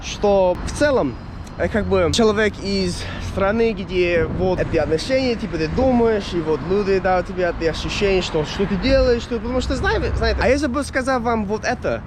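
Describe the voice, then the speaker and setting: A young man with an American accent and a high voice talks casually to his viewers in a non-native language. He rambles, hunts for words, and restarts often. The recording is outdoors, with clear street traffic audible behind him. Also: moderate pace. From United States. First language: English. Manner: rambling, searching for words, many fillers and restarts. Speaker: vlogger. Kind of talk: casual chat to camera.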